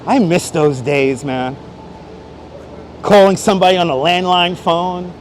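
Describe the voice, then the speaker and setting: A man's voice: high-pitched, measured pace. A man hosting a casual livestream outdoors, chatting playfully and exclaiming to his viewers as he goes. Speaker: livestream host. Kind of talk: casual livestream chat while exploring city streets. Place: outdoors on busy Manhattan streets. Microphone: wireless clip-on microphone. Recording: outdoor city street noise.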